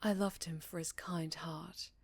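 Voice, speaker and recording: A woman playing a haunted, sorrowful vampire in an ASMR roleplay, telling her tale slowly with a Southern accent and a breathy voice that often slips into whispers. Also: on the low side, steady tone, no room sound, heavily acted. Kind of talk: ASMR roleplay, intimate dramatic storytelling.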